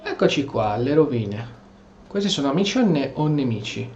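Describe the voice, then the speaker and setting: A man's voice: medium pitch, sing-song, fairly quick, darkish tone, slight background noise. An Italian man streaming a role-play game, voicing the dialogue choices and commenting as he goes. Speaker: gameplay streamer. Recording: slightly dull, game music faintly behind. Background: game music.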